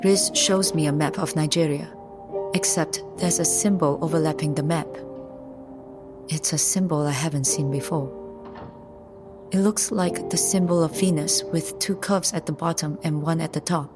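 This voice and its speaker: A woman playing a cool, focused young infiltrator with secret powers, narrating an audio drama in a measured, matter-of-fact way. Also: low voice, steady tone, music in the background, close to an everyday voice. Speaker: narrator and lead character.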